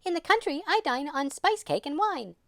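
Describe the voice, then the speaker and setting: A man's voice: very high voice, sing-song, moderate pace, creaky, fairly bright tone, no room sound, studio quality, pitched above the performer's own voice, full character voice. A man voicing a grand, breezy female city mouse in a theatrical storybook manner. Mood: grand, boastful.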